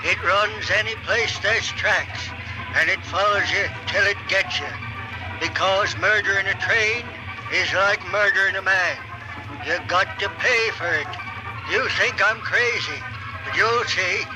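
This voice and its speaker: A man's voice: high-pitched, unhurried, over music, rough recording. An actor playing an ominous railroad man with a foreboding drawl in an old radio drama. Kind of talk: 1940s radio acting.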